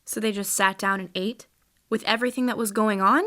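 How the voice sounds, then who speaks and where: A woman's voice: mid-range voice, fairly even intonation, fairly quick, noisy background, lightly acted. A woman voicing a curious, impatient young girl who eagerly questions a storyteller.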